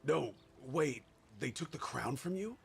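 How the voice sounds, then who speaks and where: Gravelly washed-up warrior. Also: male voice, fairly high pitch, lively intonation, unhurried, a little hiss or hum. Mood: urgent. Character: gruff washed-up drunken veteran warrior, haunted but eager to fight. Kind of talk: dramatic video game dialogue.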